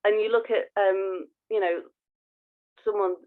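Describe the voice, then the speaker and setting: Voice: mid-range voice, steady tone, a little slow, phone-quality sound. A podcast co-host chatting casually and effusively, thinking aloud while talking.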